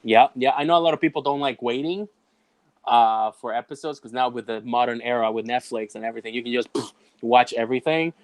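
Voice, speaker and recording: A man from Ecuador, guest on a casual comics chat, upbeat and animated in how he talks. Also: medium pitch, brisk, no echo. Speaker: guest co-host.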